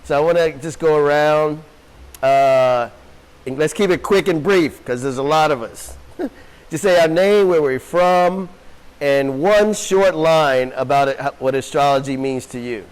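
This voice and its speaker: A man's voice: a little high, a little slow, clear, resonant tone, poor audio. A man teaching an astrology class to a room of students, talking casually with a few fillers. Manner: casual, friendly, loose with fillers. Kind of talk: relaxed classroom talk.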